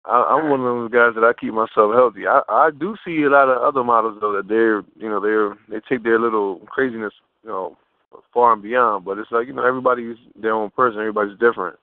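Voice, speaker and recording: A man's voice: on the low side, fast talker, no room sound. Casual man on a muffled phone line. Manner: informal, slangy, repeats and rephrases himself. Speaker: interview guest, fashion model. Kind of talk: casual phone interview chat. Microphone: telephone.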